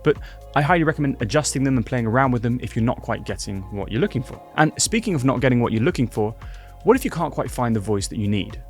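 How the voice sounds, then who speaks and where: A man giving clear, brisk instructions as a software tutorial host. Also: medium pitch, lively intonation, soft background music. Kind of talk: scripted tutorial narration.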